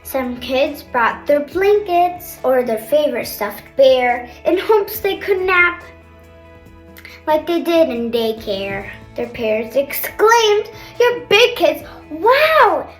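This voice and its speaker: A young girl reading a picture book aloud with chatty asides, in an expressive sing-song manner. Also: high-pitched, slow. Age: child, older sister of a kindergartner. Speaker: storybook reader. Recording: roomy echo, faint background music.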